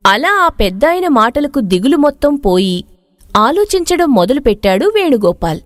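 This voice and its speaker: Female narrator, higher pitch, animated intonation, scripted storytelling, dry close recording. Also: normal speed, no echo.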